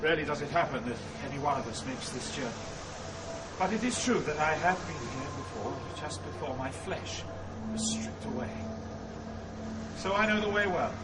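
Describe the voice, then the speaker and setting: A wise, fatherly guide through hell, played by a man. His voice is on the higher side, measured and instructive, set in an audio drama over heavy background sound. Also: expressive, heavy background noise, close to an everyday voice. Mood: steady resolve.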